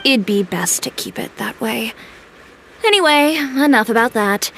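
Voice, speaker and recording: A woman playing a guarded, confident young fashion designer in a video game, speaking in a brisk, matter-of-fact way. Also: on the high side, animated, fairly bright tone, slight background noise.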